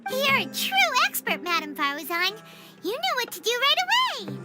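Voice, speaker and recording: An extremely high, squeaky childlike voice of a tiny female fairy companion. She is perky and eager, her pitch bouncing around, with loud video game music underneath. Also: huge pitch swings, normal speed, heavily acted. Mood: admiring. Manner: perky, speaks of herself in third person.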